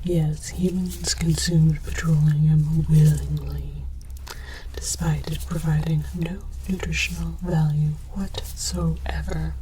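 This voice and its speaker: A woman voicing an earnest, bemused alien archaeologist in whispered ASMR, presenting with formal, deadpan academic deliberation. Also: deep voice, takes it slowly, a little hiss or hum, poor audio. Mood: solemn, bemused. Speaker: host of an educational comedy show.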